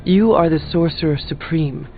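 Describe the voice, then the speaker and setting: A candid, calm counselor from a video game, acted by a man, with a somewhat high voice. He speaks as a loyal friend, formal, measured and earnest. The audio is thin and phone-like over faint music. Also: lightly acted. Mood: earnest, advising.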